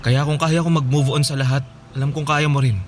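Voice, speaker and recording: A man's voice: fairly high pitch, fairly even intonation, fairly quick, a little hiss or hum, close to an everyday voice. An actor playing an earnest young man who firmly refuses a former love, emphatic and reasoning, in a radio drama. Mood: firm, apologetic. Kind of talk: Filipino radio drama acting.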